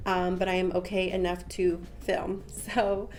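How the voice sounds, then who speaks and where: A woman's voice: lowish voice, completely flat delivery, unhurried, darkish tone, soft background music. Congested young woman chatting through a makeup tutorial. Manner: chatty, rambling, with many "um" and "like" fillers. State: congested from a cold. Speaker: beauty vlogger. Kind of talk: casual makeup tutorial chat. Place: small room at home, patterned wallpaper behind. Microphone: camera at close range, head and shoulders.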